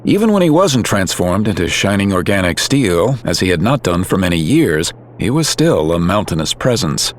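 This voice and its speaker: Very deep male narrator, lively delivery. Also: moderate pace, hardly any pauses, a little hiss or hum. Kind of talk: scripted dramatic story narration.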